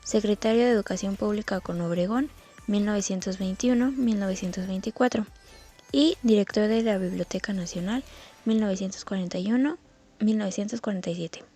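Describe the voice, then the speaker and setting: Mellow woman narrating from a script. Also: medium pitch, brisk, faint music behind. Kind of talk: scripted narration read from text.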